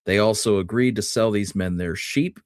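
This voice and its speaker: Low-voiced man, dry sound. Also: normal speed, no room sound. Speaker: history podcast co-host. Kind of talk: casual discussion, reading from articles.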